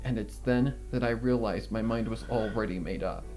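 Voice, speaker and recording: A man narrating a dark first-person fan fiction story in a brooding, deliberate, dramatic way. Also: on the low side. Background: guitar music.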